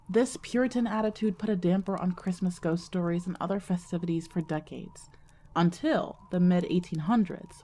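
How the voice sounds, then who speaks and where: A woman playing a wry storyteller who looks back on her school days in a scripted audio drama, conversational and deliberate. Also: on the low side, dark tone, slight background noise, lightly acted. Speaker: narrator of a fiction podcast.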